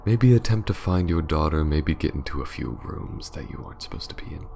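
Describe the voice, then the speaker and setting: A man playing a menacing, aristocratic vampire lord in an ASMR roleplay, slow and theatrical, often sinking into a sinister whisper. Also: a bass voice, lively intonation, vocal fry, background noise, heavily acted. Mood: mocking, predatory.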